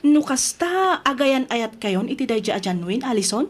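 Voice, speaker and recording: Chatty young female best friend for radio drama, teasing and animated, slightly high register. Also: normal speed, soft background music. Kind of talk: Ilocano radio drama acting.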